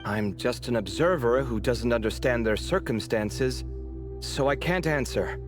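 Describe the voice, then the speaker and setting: A man's voice: mid-range voice, unhurried, music in the background, close to an everyday voice. A man voicing a polite, tactful young observer in a video game, speaking courteously. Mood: measured. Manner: courteous, diplomatic. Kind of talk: scripted game dialogue.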